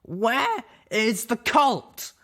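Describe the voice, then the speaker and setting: A righteous young mare seethes with dangerous anger, acted out by a male reader. The voice sits far above a man's usual pitch and swings widely, moving between raging shouts and growled threats in a dramatic audio story. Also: very animated, drawn-out words, full character voice. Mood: cold rage.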